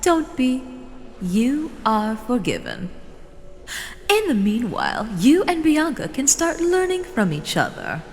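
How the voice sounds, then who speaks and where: A woman playing a doting, possessive female elder in an audio drama, with a drawn-out, sweetly condescending, theatrical delivery. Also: on the high side, big pitch swings, full character voice. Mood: doting, firm. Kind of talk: scripted fan audio drama acting. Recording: reverberant hall, faint noise.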